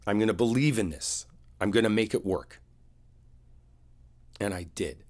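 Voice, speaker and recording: A man playing an earnest, wounded boyfriend in an intimate roleplay, speaking in a halting, confiding way. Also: fairly low pitch, animated, a little mellow, close to an everyday voice. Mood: hurt, confiding.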